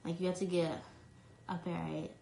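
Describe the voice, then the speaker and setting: A woman's voice: on the low side, level tone, normal speed, creaky, reverberant, background noise. A young woman from New York chatting casually on a live stream from her phone, reading fan questions aloud and answering with lots of "um" and "like.". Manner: chatty, fillers like um and like. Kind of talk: casual chat.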